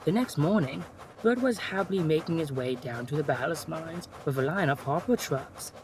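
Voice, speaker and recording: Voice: mid-range voice, animated, moderate pace, noisy background. A narrator reading a scripted children's story, with music playing behind.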